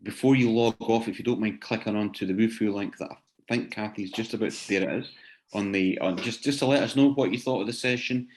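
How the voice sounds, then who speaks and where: A man's voice: lowish voice, brisk, rough recording. A man hosting an online seminar informally, hesitant and often repeating words as he searches for his phrasing. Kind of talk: informal spoken closing remarks to attendees. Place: online video session.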